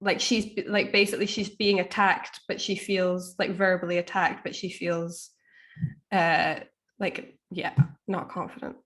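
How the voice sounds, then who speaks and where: A woman guest talks casually at an unhurried, slow pace. Her delivery is halting and hesitant, as she searches for the right words in conversation. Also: fairly low pitch, flat delivery, a bit bright. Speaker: guest author being coached.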